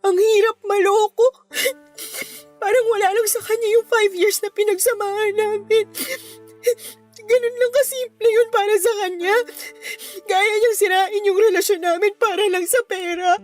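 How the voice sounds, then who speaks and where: A woman's voice: very high-pitched, steady tone, normal speed, a little mellow, faint music behind. A woman with a Filipino accent playing a hurt, plaintive young woman confiding about a betrayal in an audio drama. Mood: hurt, tearful.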